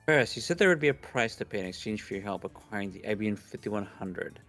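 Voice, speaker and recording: Guy doing a pompous mad scientist type from an anime game, lowish voice, bit of music. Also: animated, fast talker, a bit bright. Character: grandiose self-styled mad scientist, young man, pompous. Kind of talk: reading visual novel dialogue aloud.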